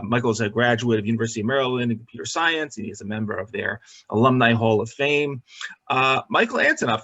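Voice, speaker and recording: A man hosts an interview podcast, introducing his guest at length. His voice sits at an ordinary middle pitch, and his reading is peppered with small filler sounds. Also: a bit bright, husky. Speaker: podcast host. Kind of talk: interview show host.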